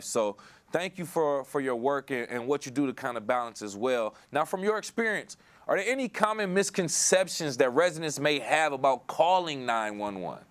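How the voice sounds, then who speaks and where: A man's voice: a little high, a bit bright. An American man hosting an interview, conversational and wordy, often repeating words as he works toward a question. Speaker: interview host.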